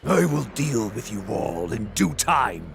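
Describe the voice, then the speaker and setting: Gravelly, menacing cyclops warlord. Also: male voice, mid-range voice, very animated, a little slow, over music. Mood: scornful. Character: menacing cyclops warlord, huge, scornful and cruel. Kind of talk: video game cutscene acting. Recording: noisy game capture.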